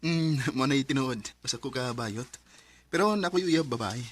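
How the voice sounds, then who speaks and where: A man's voice: medium pitch, expressive, moderate pace, loud music behind the voice, close to an everyday voice. An actor playing a closeted man torn between two lovers in a Philippine radio drama, earnest and pleading, with a Bisaya Filipino accent. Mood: confiding.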